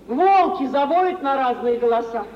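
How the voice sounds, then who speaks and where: High-pitched, needling worldly mother. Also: lively intonation, moderate pace. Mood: ironic warning. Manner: theatrical, ironic, needling. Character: worldly, mocking middle-aged mother, practical and shrewd. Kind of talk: mid-century Russian stage drama acting for radio. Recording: dull, hissy 1950s radio recording.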